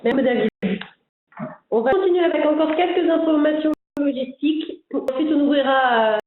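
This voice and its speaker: A woman's voice: sits high, normal speed. Woman, webinar moderator, echoey room sound. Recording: echoey phone-like webinar audio.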